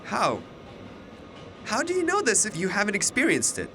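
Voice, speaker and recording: A man's voice: high-pitched, wildly swooping pitch, normal speed, bright, forceful tone, slight background noise, exaggerated voice. A young man acting in a radio drama as a defiant, skeptical student, agitated and incredulous. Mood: incredulous, anxious. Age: young adult.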